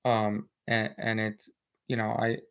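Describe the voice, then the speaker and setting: A man's voice: on the low side, completely flat delivery, unhurried, gravelly, fairly bright tone, smooth. A man with an American accent, whose first language is Spanish, chatting casually on a live stream in a rambling way, with many fillers, and reading viewer comments. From United States.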